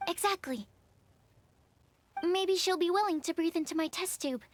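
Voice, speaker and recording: A gentle, high-voiced young alchemist. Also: female voice, moderate pace. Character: shy earnest young woman alchemist. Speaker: alchemist researcher. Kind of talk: video game voice acting.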